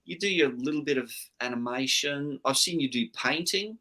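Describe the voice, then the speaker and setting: A man's voice: medium pitch, moderate pace, pushed and bright. A man with an Australian accent with a hint of Scottish, hosting an easygoing, chatty stream. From Scotland. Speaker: host. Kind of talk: casual chat.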